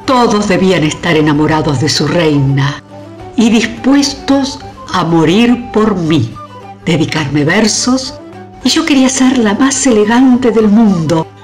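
A woman's voice: medium pitch, expressive, measured pace, a little mellow, faint music behind, lightly acted. A woman playing a proud, passionate old medieval queen in a radio drama, with an Argentine accent and theatrical, emphatic delivery. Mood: proud, nostalgic. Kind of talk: melodramatic radio drama acting.

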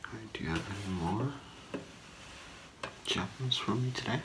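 A middle-aged man doing an ASMR role play as a gemologist, in a hushed, halting, whispery murmur. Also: fairly low pitch, noisy background. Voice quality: breathy, whispery, gravelly murmur. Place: small curtained room indoors.

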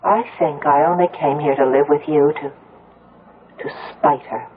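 A woman's voice: low-pitched, steady tone, unhurried. An actress playing an anguished young woman haunted by her mother in a radio drama. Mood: bitter confession. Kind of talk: 1970s radio drama acting. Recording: muffled, tinny old radio broadcast.

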